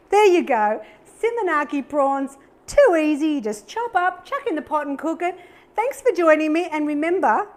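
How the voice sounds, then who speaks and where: A middle-aged woman with an Australian accent, hosting a live cooking demonstration. Her voice sits high and she talks in a bubbly, chatty, upbeat way, full of little exclamations as she cooks. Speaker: cooking show host.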